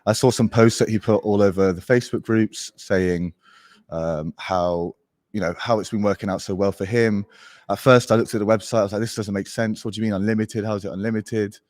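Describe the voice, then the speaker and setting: Young man, English accent, deep voice, casual guest chat, dry mic. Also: fairly quick, no echo. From born in Portugal, raised in Spain. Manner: relaxed, rambling, many fillers. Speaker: guest, agency founder. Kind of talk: casual podcast interview.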